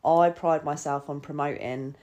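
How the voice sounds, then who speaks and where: A woman's voice: low-pitched, steady tone, moderate pace, mellow, dull audio. A woman hosting a podcast, chatting enthusiastically and casually with plenty of filler words. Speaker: podcast host.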